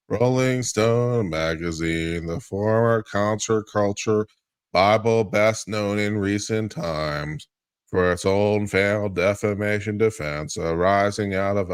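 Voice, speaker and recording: A man with a fairly low voice in a dry, close recording. He reads formal legal text aloud as a guest on a commentary show, deliberately and with a theatrical touch. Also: clear, resonant tone, dry recording. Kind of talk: dramatic reading of a lawsuit.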